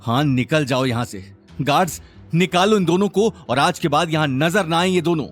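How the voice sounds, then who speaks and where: An arrogant young businessman of an audio drama, acted out by a male narrator, spiteful and quick to anger. His delivery swings widely in pitch as he sneers and taunts, with light music underneath. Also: lively intonation. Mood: furious. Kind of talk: Hindi audio drama acting.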